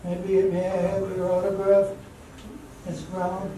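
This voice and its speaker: A man playing an eager, polite, easily flustered gentleman in an amateur radio drama, recorded echoey and distant. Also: high voice, completely flat delivery, slow. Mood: congratulatory. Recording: echoey, distant mic, faint room noise.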